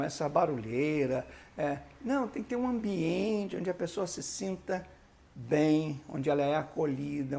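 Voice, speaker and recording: Brazilian guy, pastor teaching type, kinda high voice w lots of creak, emphatic but chatty, explains stuff like a course. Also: a little mellow. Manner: didactic, emphatic, conversational with rhetorical questions. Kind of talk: instructional video teaching with sermon-like exhortation.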